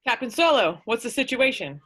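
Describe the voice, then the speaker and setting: A woman's voice: a little high, sing-song, fairly quick, a bit bright. A woman reading the part of a businesslike rebel general in an amateur table read. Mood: brisk, businesslike.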